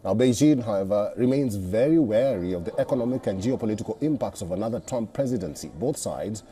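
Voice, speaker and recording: A man's voice: on the low side, expressive, fast talker, mellow. A man working as a news anchor, presenting scripted news and putting questions in a live interview.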